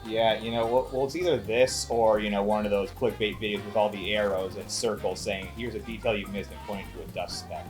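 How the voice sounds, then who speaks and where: A man's voice: on the low side, fairly even intonation, a little fast, few pauses, faint music behind. A man co-hosting a casual, conversational film review show. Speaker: co-host of a movie review show.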